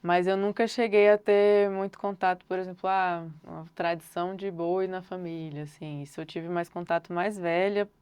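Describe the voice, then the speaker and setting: Smooth, low-pitched Brazilian woman, thoughtful. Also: fairly even intonation, a little mellow, no room sound. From Brasília, Brazil. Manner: thoughtful, unhurried, hedges often with filler words. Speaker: singer-songwriter guest. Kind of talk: reflective interview answers.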